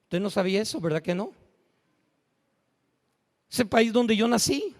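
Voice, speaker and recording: High-voiced preacher in a reverberant church. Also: male voice, animated, unhurried. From United States. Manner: emphatic, rising to shouts at peaks. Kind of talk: sermon. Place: church sanctuary.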